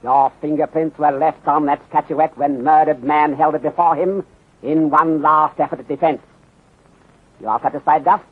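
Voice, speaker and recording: An actor in a 1930s radio drama playing a calm, methodical detective inspector with a put-on Chinese accent and formal, clipped sentences. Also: male voice, fairly high pitch, slightly rough. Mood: calm, accusing. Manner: measured, formal, clipped sentences without articles. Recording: muffled, phone-like 1930s radio recording.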